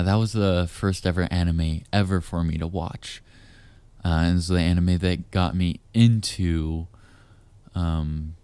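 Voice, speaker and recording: A man speaking in a hushed, teasing, deliberate way straight to the listener, as in an intimate audio roleplay, close on the mic. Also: deep voice, clean tone, no echo. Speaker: voice actor.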